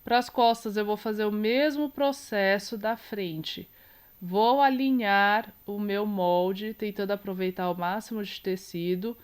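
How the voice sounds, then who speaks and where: A Brazilian woman with a congested, nasal voice from a cold, calmly explaining a sewing tutorial step by step while she works, correcting herself now and then. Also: mid-range voice, unhurried, clean tone. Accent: Brazilian Portuguese. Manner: calm, explains while working, small self-corrections. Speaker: sewing teacher.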